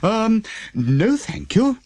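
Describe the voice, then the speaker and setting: A man's voice: high-pitched, very animated, extremely slow, a little mellow, no room sound, pitched above the performer's own voice, full character voice. A man voicing a good-natured, wary postman in a children's storybook. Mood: wary, muttering. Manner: muttering, chuckling, wary. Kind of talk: children's storybook character reading.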